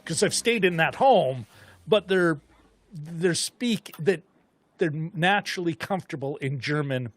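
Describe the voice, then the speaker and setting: A man co-hosting a film discussion show, chatting casually in a rambling, animated way as he thinks aloud. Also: on the high side, unhurried, no echo. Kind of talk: casual chat.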